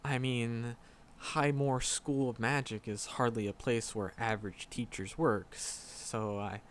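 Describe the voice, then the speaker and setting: A man's voice: medium pitch, steady tone, a little hiss or hum, close to an everyday voice. A man playing a shy, flustered magic professor in a roleplay audio drama, stammering and nervous as he talks to a secret crush. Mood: nervous admiring. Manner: nervous, halting, stammering, rambling. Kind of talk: roleplay audio drama, intimate one-sided dialogue.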